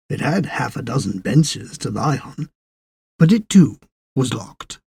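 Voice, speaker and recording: A man reading a literary short story as a narrator, in a measured, dramatic storytelling manner. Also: mid-range voice, creaky, mellow, slightly rough, dry recording. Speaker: audiobook narrator.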